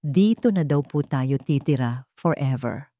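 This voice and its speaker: A woman's voice: rumbling low, animated, a little slow, mellow, dry recording, clean studio sound. A woman voicing a small, innocent, polite child in a story.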